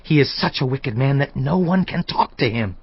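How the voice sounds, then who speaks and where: Husky, earnest servant. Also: male voice, mid-range voice, animated, normal speed, highs cut off. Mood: worried, urgent. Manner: earnest, urgent, deferential. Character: anxious, loyal household servant or messenger. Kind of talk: dramatized scripture, audio drama acting.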